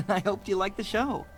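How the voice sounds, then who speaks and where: A woman's voice: medium pitch, natural voice. An actress playing a friendly, chatty young woman puppeteer in a video game, with a teasing manner. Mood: grateful. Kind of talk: video game character dialogue.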